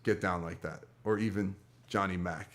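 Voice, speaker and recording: An American man with a fairly low voice co-hosts a casual radio talk show. He banters irreverently, tossing in wisecracks and puns as he goes. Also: fairly even intonation, moderate pace.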